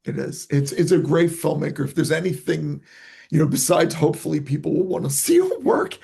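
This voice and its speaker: A man's voice: a little high, lively intonation, fairly quick, creaky, mellow, husky. A man whose first language is Italian, a guest in a podcast interview, talking with enthusiasm and many restarts. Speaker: guest filmmaker. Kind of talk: casual interview talk.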